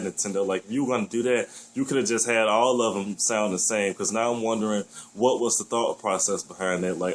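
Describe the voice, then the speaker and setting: A man with a deep voice giving his blunt, opinionated take on a new game in a casual vlog, swearing freely as he gripes. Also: rough recording. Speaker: gaming vlogger.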